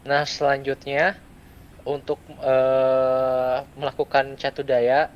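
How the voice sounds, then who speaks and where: A young adult man gives a step-by-step software tutorial, speaking in short, halting phrases with pauses. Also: mid-range voice, monotone, highs cut off. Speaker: student presenter giving a software tutorial. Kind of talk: step-by-step screen tutorial, unscripted. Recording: clear background noise.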